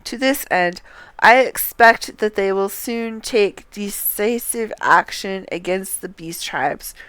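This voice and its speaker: A woman streamer reading fantasy game dialogue aloud in a measured, deliberate way, close to the microphone. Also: medium pitch, a bit bright, clean tone, dry recording.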